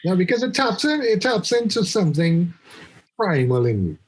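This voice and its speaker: A man in his mid-forties talks through ideas on a podcast, often restarting as he hunts for a word. His voice sits a bit high and sounds husky. He teases his co-host with dry jabs. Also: sing-song, normal speed. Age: 40s. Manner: rambling, restarts often, teasing. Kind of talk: casual chat. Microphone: remote call.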